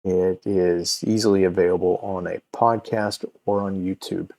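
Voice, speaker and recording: A man hosting a show, giving an informal introduction in a halting, deliberate way. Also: low-pitched, flat delivery, vocal fry, darkish tone. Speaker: host and comedy performer.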